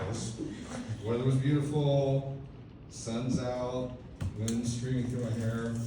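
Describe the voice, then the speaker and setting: A man's voice: mid-range voice, background noise. Man in his fifties doing club stand-up. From Texas, USA. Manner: dry, self-deprecating storytelling with conversational fillers. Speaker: stand-up comedian. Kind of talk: stand-up comedy set. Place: comedy club stage. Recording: echoey club audio from a distant mic. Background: audience laughter.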